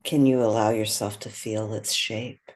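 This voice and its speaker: A meditation guide with a soft, breathy voice, speaking gently and without hurry, leaving long pauses. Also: mid-range voice, steady tone.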